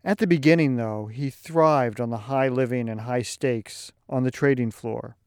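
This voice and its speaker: A man hosting and narrating a radio piece in a measured, scripted way. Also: mid-range voice, darkish tone, clean tone, no echo, clean studio sound. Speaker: radio host.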